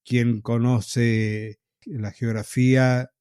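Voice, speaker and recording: An Argentine man with a warm, relaxed voice tells his own story at an easy pace. He sounds reflective, pausing now and then as he remembers. The sound is close and dry, with no room around it. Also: mid-range voice, clean tone, dry recording. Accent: Argentine Spanish. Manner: reflective, unhurried, with pauses and soft laughs. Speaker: podcast host telling his own story. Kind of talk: casual storytelling in an interview.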